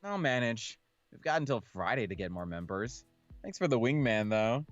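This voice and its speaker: A man voicing a confident, easygoing male high school senior, the protagonist of a visual novel. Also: big pitch swings, moderate pace, muffled recording, natural voice. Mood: breezy, confident. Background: background game music.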